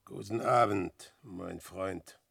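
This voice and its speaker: An older actor playing an old ruined wanderer, anguished and self-justifying, declaiming in a gravelly, husky voice in a German radio drama. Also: male voice, low voice, fairly bright tone, exaggerated voice. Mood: wary. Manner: declamatory, drawn-out delivery. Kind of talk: theatrical German radio drama acting in verse.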